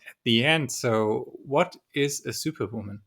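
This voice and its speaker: A man's voice: medium pitch, a little slow, fairly bright tone, breathy edge. Man, co-host, hesitant, thinks aloud. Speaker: podcast co-host. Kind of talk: podcast interview.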